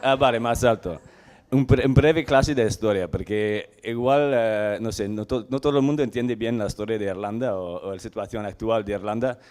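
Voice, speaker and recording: A man from Ireland, a farmer, giving a conference talk in a language that is not his own, halting and searching for words. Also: on the low side, a little mellow. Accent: Irish. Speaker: farmer and panel speaker.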